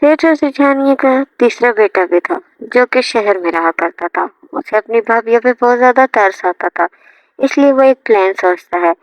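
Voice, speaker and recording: A woman's voice: a little high, animated, normal speed, no room sound. A woman reading a scripted story as its narrator, with music playing behind her. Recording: dull lo-fi audio, music behind. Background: background music.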